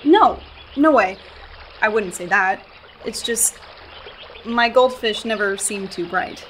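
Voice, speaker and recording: A woman's voice: medium pitch, takes it slowly, fairly bright tone, a little hiss or hum. A young woman with an American accent in a radio play, acting a sarcastic, exasperated hiker. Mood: wry. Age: young adult. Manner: sarcastic, incredulous.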